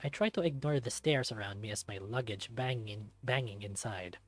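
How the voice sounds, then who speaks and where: A man reading a visual novel aloud as an expressive narrator. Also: on the low side, moderate pace, soft background music.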